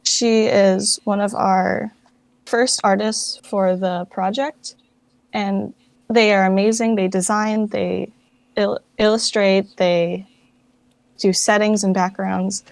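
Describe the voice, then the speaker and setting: Woman, medium pitch, informal interview guest, frequent "like", dry close sound. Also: level tone, slow, gravelly, no room sound. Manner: informal, filler-heavy with frequent "like". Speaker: guest, fan project director. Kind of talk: casual interview chat.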